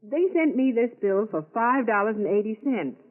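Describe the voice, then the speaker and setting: A woman's voice: fairly high pitch, animated, moderate pace, lightly acted. A woman acting a sweet, slightly sheepish housewife, coaxing and earnest, in 1940s radio style. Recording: tinny, narrow-band 1940s radio recording.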